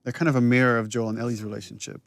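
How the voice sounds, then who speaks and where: A man giving casual commentary as a creative lead, explaining scenes in halting, feeling-it-out phrases. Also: medium pitch, flat delivery, vocal fry.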